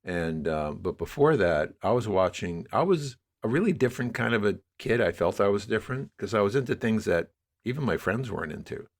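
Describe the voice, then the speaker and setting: A man in his sixties with an American accent and a low-pitched voice. He talks as an interview guest, unfolding his thoughts in a reflective, discursive way and leaning on key words. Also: expressive, brisk. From New York area, United States. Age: 60s. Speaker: interview guest, media producer.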